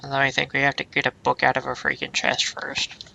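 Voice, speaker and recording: A man with a gravelly, sore-throated voice giving casual commentary on a video game. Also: mid-range voice, completely flat delivery, a little fast, pushed and bright. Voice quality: gravelly, creaky. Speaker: let's play co-commentator. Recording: very noisy home recording.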